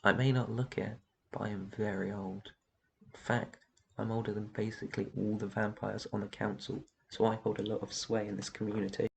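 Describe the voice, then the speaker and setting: An ancient vampire master, acted out by a man, with a low voice full of gravelly creak. He speaks in a gentle, protective and soothing way, as in an intimate roleplay ASMR. His delivery stays very even, with slight noise behind it. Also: brisk, poor audio. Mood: reassuring, protective. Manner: soft shushing, reassuring, tender endearments. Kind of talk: roleplay ASMR, intimate one-sided dialogue.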